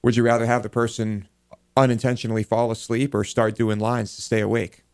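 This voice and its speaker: A man's voice: on the low side, level tone, brisk, a little mellow, clear, resonant tone. An American man co-hosting a talk show, chatting casually in a studio. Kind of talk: casual banter. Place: radio and TV studio.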